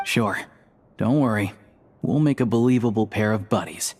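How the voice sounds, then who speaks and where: A man playing a calm, courteous, reassuring accomplice in a video game, speaking in a composed and measured way. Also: on the low side, natural voice. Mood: calm, assured. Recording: music under the voice.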